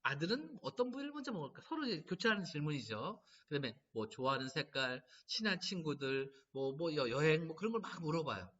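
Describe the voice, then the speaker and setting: A man's voice: fairly high pitch, quick pace, few pauses. A middle-aged man giving a laughter therapy lecture to caregivers, telling stories and acting out quotes. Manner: lively storytelling, animated quoting. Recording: distant mic, dull lo-fi sound.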